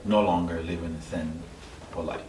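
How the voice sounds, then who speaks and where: A middle-aged man from Haiti giving a personal testimony as an interview guest, halting and searching for his words. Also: low-pitched, steady tone, a little mellow. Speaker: interview guest, church member. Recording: echoey, distant mic, clear background noise.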